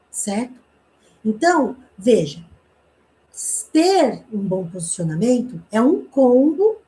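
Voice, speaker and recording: Woman giving an online communication class, kinda high voice, mellow tone, keeps asking "né?". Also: poor audio. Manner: explanatory, with rhetorical questions and frequent "né?". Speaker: communication teacher.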